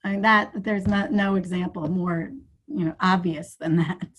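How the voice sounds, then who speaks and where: A woman's voice: on the low side, fairly even intonation, moderate pace, dull audio. A woman taking part in an online workshop over a video call, frankly telling a story about school life. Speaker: workshop participant. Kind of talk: casual chat.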